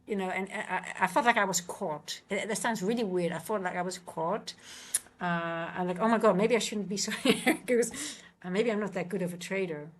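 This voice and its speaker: A woman's voice: medium pitch, normal speed. A woman with a Dutch accent talking casually on a live trading stream, chatty and restless, often restarting her sentences. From Netherlands. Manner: chatty, repeats words, frequent restarts and fillers.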